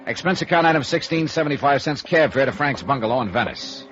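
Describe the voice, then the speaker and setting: An actor playing a wry, hard-boiled insurance investigator in a 1950s radio noir, with clipped, dry, probing delivery and first-person narration. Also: male voice, mid-range voice, expressive, fast talker, a bit bright, close to an everyday voice. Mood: dry, matter-of-fact. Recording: dull vintage radio recording with music.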